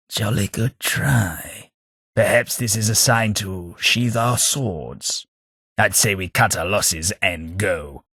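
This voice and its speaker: An actor playing a refined, dry-witted male ghoul companion with an upper-class Southern English accent and a husky voice, recorded close in a studio for a game. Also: fairly low pitch, fairly bright tone, dry recording, exaggerated voice. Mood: wry. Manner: dry, wry, refined delivery. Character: posh, witty male ghoul gentleman companion. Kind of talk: video game voice lines. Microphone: close studio mic.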